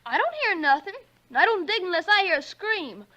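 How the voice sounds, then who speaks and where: A woman's voice: extremely high pitch, sing-song, a little fast, pushed and bright, highs cut off, full character voice. A woman playing a skeptical, cheeky ten-year-old American boy with blunt, slangy talk in a 1940s radio drama. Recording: noisy 1940s radio recording.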